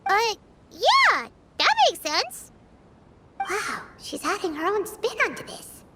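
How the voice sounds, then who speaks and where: An actress voicing a tiny, childlike, eager and chirpy fairy companion in a video game. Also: female voice, wildly swooping pitch, takes it slowly, heavy background noise, full character voice. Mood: reassuring. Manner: squeaky, perky, exclamatory. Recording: loud music under the voice.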